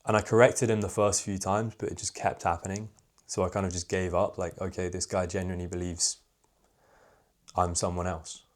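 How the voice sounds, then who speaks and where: A young man talks straight to camera about social confidence, in a candid, reflective way. His voice sits a bit low and fairly monotone, loosened by frequent "like" fillers. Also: normal speed. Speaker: social skills coach. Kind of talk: casual talking-head advice video.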